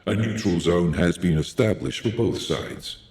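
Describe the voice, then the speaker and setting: An actor playing a grave, composed military commander in a video game, speaking in a measured, solemn, authoritative way with a gravelly voice. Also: male voice, sits low, a little mellow. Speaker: game character. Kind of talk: scripted video game dialogue. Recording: roomy echo.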